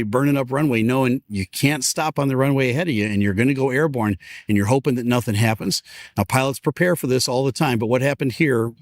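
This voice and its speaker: A man with a mid-pitched voice talks through footage as an experienced pilot. He explains in a conversational, unscripted way. The sound is dry, with no room echo. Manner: explanatory, conversational, first-hand. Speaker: pilot giving expert analysis. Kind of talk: unscripted video breakdown commentary.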